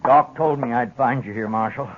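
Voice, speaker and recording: A man's voice: mid-range voice, normal speed, noisy background, close to an everyday voice. An actor playing a stubborn, grim old rancher father in a 1950s radio western, blunt and commanding, with a rural Western American accent. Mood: grim, determined. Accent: rural American West. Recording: dull lo-fi old radio recording.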